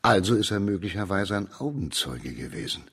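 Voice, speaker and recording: A man's voice: low-pitched, big pitch swings, a little fast, fairly bright tone, slightly rough, exaggerated voice. An actor in a radio play voicing an authoritative, probing male judge of ancient China in formal, commanding speech. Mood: urgent, commanding. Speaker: actor in a radio crime drama.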